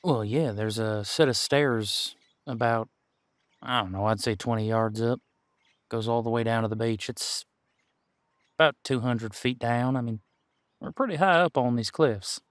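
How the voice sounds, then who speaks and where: A man playing a seasoned, wry detective who patiently mentors a rookie in an audio roleplay drama, musing aloud in unhurried, folksy phrasing. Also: medium pitch, dry recording, natural voice. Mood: thoughtful, questioning. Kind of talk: roleplay audio drama, one-sided conversation.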